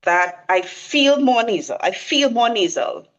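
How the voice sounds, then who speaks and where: A woman's voice: a little high, lively intonation, measured pace, dull audio. A woman with a Trinidadian accent, chatting as a guest and explaining things with enthusiasm. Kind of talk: casual conversation.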